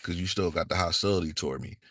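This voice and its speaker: A man's voice: deep voice, fast talker, fairly bright tone, no echo, clean studio sound. A young man from the northeastern United States giving a rambling, laid-back monologue full of fillers and slang. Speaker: channel host. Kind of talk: casual monologue vlog.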